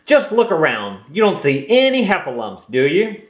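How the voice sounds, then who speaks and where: A man's voice: fairly high pitch, huge pitch swings, normal speed, roomy sound, pitched above the performer's own voice. A man doing a fussy, bossy rabbit. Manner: frantic, commanding.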